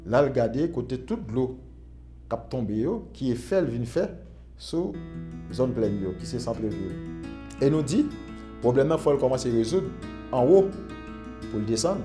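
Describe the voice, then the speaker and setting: A man's voice: mid-range voice, lively intonation, normal speed, long pauses, dark tone. A man with a Haitian accent explaining things in a documentary interview. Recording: music bed behind voice.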